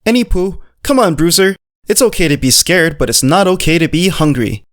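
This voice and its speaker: An actor voicing an eager, wide-eyed, food-loving dog in an animated visual novel story. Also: mid-range voice, moderate pace, close to an everyday voice. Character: Meatball, excitable, naive, friendly, food-loving male dog narrator.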